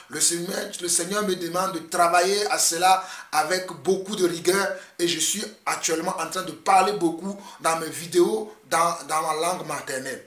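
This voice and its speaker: A Togolese man preaching in French with his home accent, his voice sitting high for a man. He talks earnestly and circles back over his phrases as he exhorts. The recording sounds roomy and a little off-mic. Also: fairly bright tone, far from the mic. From Togo. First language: Mina or Ewe. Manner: earnest, repeating himself as he exhorts. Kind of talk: online sermon video.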